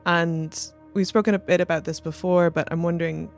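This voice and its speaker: A woman's voice: fairly low pitch, steady tone, brisk, soft background music. A woman interviewing someone in a conversational, tentative and exploratory way.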